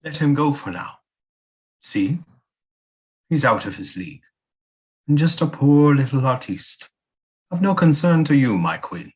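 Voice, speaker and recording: A man acting a devoted, cryptic ghost of an old seer in an audio play, husky and courtly. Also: mid-range voice, very animated, a little slow, pauses often, a little mellow, poor audio. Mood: dismissive, coaxing. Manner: courtly, solemn, archaic diction. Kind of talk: theatrical audio drama acting.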